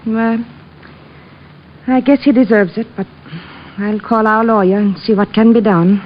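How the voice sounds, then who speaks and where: A woman's voice: a little high, steady tone, a little slow, natural voice. A woman playing a composed, coy wife of a murder suspect in 1950s radio drama style. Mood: guarded. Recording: muffled, noisy old radio recording.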